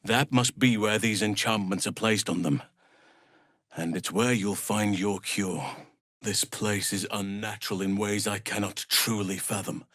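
A man playing a wise old elf druid in a video game, protective and solemn, speaking in measured, earnest, formal phrases. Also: on the low side, fairly even intonation, a bit bright, no echo, clean studio sound. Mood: grave. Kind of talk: video game character dialogue.

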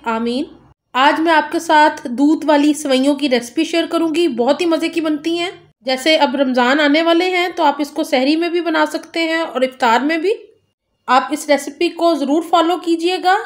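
High-voiced woman explaining a recipe. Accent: Urdu. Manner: gentle, polite, step-by-step instructions with blessings. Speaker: cooking channel host. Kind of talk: recipe voice-over narration.

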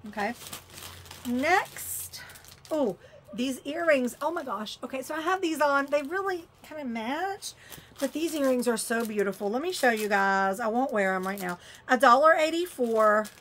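A woman's voice: high voice, lively intonation, normal speed, a bit bright, a little hiss or hum. A woman chatting casually through a shopping haul on camera, exclaiming and muttering as she opens things. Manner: chatty, exclaims and mutters while fiddling with items. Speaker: vlogger unboxing a shopping haul.

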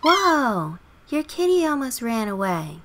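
Woman voicing a high, chirpy young female neighbour. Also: very animated, takes it slowly, fairly bright tone, heavily acted. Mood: surprised. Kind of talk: scripted life-simulation game story.

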